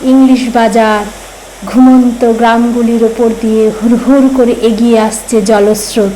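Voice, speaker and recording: A woman giving a dramatic poetry recitation, with deliberate, measured phrasing and repeated refrains. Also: medium pitch, a little mellow, slight background noise, rough recording.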